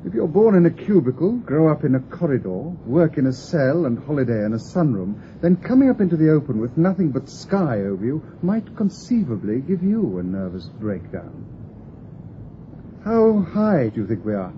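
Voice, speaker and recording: An actor playing a glib, knowing city local with a British accent, slyly drawing out a stranger in a radio drama. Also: male voice, on the high side, sing-song, normal speed. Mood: chatty, wry. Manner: glib, wry, conversational. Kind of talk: BBC radio drama acting. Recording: dull lo-fi radio recording with hiss.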